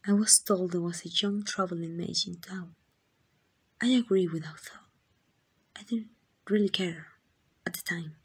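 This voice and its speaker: A woman with a Mexican accent playing a tender, devoted queen in a romantic ASMR roleplay, speaking in gentle, intimate, breathy whispers. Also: on the low side, steady tone, a little slow, pauses often, heavily acted. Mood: tender, wistful. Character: tender, devoted queen in secret love with a commoner.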